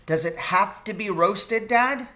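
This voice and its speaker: Man doing a curious young boy, storybook, raised pitch, bright, questioning, earnest, practical. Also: slow, exaggerated voice. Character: curious, practical, doubtful young boy talking to his dad. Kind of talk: children's storybook reading.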